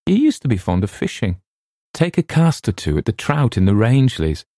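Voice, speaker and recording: A man reading the part of a calm, patient gentleman who asks gentle, probing questions. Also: mid-range voice, brisk, no room sound, studio quality, pitched above the performer's own voice.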